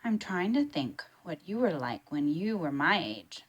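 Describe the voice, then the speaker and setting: A woman's voice: fairly low pitch, big pitch swings, unhurried, breathy edge, lo-fi sound, exaggerated voice. A woman voicing a curious, thoughtful young boy in a children's storybook.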